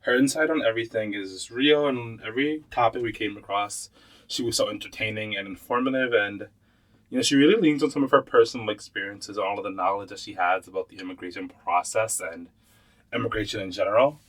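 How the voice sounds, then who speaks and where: A man's voice: on the low side, a little fast, low-quality recording. A man hosting a podcast, introducing and questioning his guest in a friendly, casual way with lots of "you know" and "like". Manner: friendly, uses many fillers like "you know" and "like". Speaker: podcast host. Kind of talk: casual interview chat.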